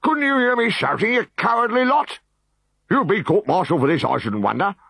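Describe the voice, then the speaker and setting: A man's voice: sits high, big pitch swings, normal speed, vocal fry, husky, dry recording, studio quality, voice pushed up, full character voice. A man voicing a blustering, bossy Roman officer in a storybook reading. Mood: furious. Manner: shouting, exasperated.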